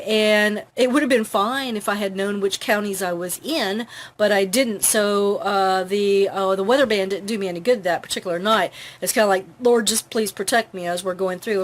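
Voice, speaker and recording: A middle-aged woman hosting an informal how-to talk, chatty, with many fillers. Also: medium pitch. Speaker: host.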